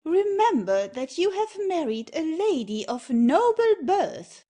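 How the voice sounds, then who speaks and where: A haughty noble mother-in-law in a stage farce, acted by a woman, high-pitched and swooping. She speaks slowly and grandly, affronted and disapproving. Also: sing-song, mellow, heavily acted. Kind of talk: classic comedy audio play acting.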